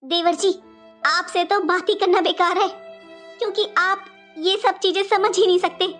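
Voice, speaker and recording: A woman's voice: very high-pitched, fairly even intonation, normal speed, a bit bright, soft background music. A woman voicing an indignant young daughter-in-law in a cartoon melodrama, accusing and dramatic, full of rhetorical questions. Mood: anguished, reproachful. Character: indignant young daughter-in-law defending her jilted sister. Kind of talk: Hindi cartoon family melodrama voice acting.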